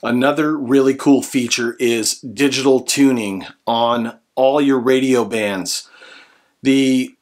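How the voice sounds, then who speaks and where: A man's voice: mid-range voice, fairly even intonation, takes it slowly. A man in his forties with an American accent, explaining a product to camera in an earnest, instructional way. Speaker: product reviewer and presenter. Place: small room indoors at home.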